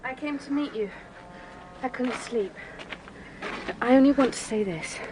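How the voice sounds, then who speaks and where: An actress playing a sorrowful, gentle young gentlewoman in a period audio drama, earnest and wistful. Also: high-pitched, unhurried, noisy background. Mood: wistful, confiding.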